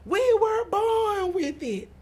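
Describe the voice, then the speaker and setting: A woman's voice: very high-pitched, very animated, takes it slowly, darkish tone, pitched above the performer's own voice, exaggerated voice. A woman voicing a fed-up, outspoken young girl from a storybook, exclaiming emphatically. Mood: shouting indignantly.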